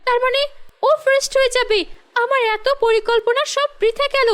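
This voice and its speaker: A jealous, scheming cartoon girl played by a woman. The voice is extremely high and whiny, spilling out in quick, excitable bursts. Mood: frustrated. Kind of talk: animated fairy-tale voice acting.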